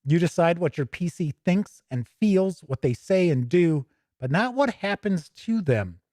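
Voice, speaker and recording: Guy doing a laid-back gaming book flip-through, kinda high voice, rambly asides, very dry sound. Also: expressive, unhurried, darkish tone, dry recording. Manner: rambling, thinking aloud, trailing into asides. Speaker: host of a tabletop gaming review show. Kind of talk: casual live commentary while paging through a rulebook.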